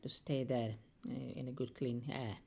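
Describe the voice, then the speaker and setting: A man tells his life story haltingly, full of pauses and fillers. His voice is gravelly and dark, with a mellow, low tone throughout. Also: little pitch movement. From India. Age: 50s. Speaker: oral history interviewee.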